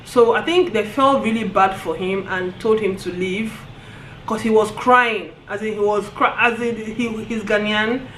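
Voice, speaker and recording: A woman with a Nigerian accent telling a story on her vlog in an animated way, often breaking into laughter. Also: mid-range voice, unhurried, a little hiss or hum. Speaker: vlogger. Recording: roomy echo, faint ambience.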